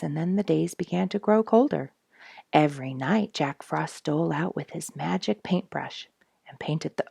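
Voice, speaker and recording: A woman reading a children's story aloud as scripted narration, in a gentle storytelling manner. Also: low-pitched, gravelly, no room sound, clean studio sound. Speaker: storybook reader.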